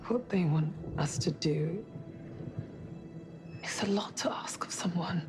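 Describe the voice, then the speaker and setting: A young female astronaut in a sci-fi game, confident but carrying quiet grief. Her voice sits fairly low, with soft, understated, natural delivery. Music plays clearly behind her. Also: takes it slowly, in a whisper. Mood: troubled. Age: young adult. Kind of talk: naturalistic cinematic game dialogue.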